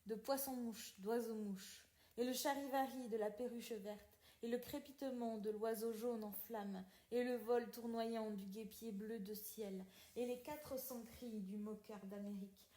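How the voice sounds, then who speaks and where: High-voiced woman reading poetry, echoey room. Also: steady tone, unhurried, few pauses, mellow. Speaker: podcast reader. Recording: roomy, echoing sound.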